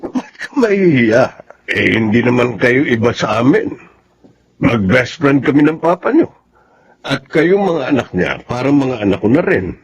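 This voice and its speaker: Animated, fatherly Filipino uncle. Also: mid-range voice, moderate pace. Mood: warm, encouraging. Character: generous, fatherly older family-friend uncle. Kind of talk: Filipino radio drama acting. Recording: muffled, faintly noisy recording.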